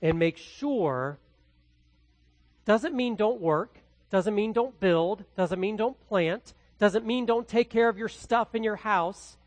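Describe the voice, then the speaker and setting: Dry-voiced Midwestern preacher, loud then hushed. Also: male voice, high voice, takes it slowly, dry recording. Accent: Midwestern American. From American Midwest. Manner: dramatic swings between loud and hushed delivery. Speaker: pastor. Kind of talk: expository sermon.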